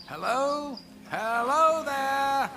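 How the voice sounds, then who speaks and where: A hesitant, bewildered husband, swooping pitch. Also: male voice, animated, over music, full character voice. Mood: friendly, uneasy. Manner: hesitant, stammering, halting. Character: polite, bewildered suburban husband, increasingly wary. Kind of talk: radio drama acting.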